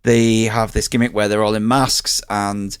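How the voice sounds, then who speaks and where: A man with a fairly low voice chats casually as a podcast host. He is enthusiastic and sweary, and the sound is close and dry. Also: little pitch movement, a little slow, clean tone, no echo. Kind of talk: casual chat, reviewing a live show.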